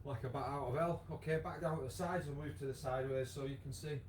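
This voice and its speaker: A man with a croaky voice, leading a live online exercise class with many fillers. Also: medium pitch, fairly even intonation, fast, darkish tone, slight background noise. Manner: chatty, encouraging, lots of fillers. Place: home room with wall and bar. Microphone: device camera, moves away at times.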